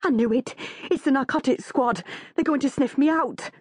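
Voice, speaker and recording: A woman's voice: on the low side, lively intonation, fairly quick, darkish tone, husky. A woman voicing an edgy, melodramatic middle-aged woman for an audiobook, with an anguished, moaning manner. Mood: anguished panic. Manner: gulping, moaning, dramatic.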